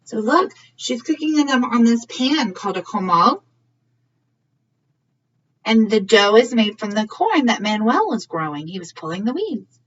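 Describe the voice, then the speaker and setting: A woman's voice: fairly high pitch, fairly bright tone, husky. A woman reading a story aloud for young children in a teacherly way, often checking in with questions. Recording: slightly muffled, distant mic.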